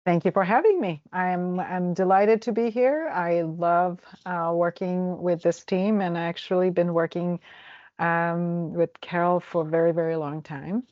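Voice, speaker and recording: Croatian-accented woman, dull low voice. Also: fairly even intonation, no room sound. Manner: halting, many fillers and restarts. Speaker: travel agent. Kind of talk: casual interview.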